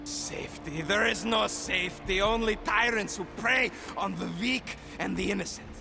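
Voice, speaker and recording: Gravelly, high-pitched, frightened informant over music. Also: male voice. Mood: bitter, defiant. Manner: pleading, bitter, halting. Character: frightened, weary male informant, protective of his family. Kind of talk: dramatic video game acting. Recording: game dialogue under loud music. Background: game music.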